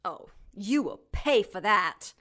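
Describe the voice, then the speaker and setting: A possessive romantic rival in a radio play. She speaks slowly at a middle pitch with wide swings, taunting and sultry. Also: very animated, pushed and bright, exaggerated voice. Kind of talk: audio drama acting.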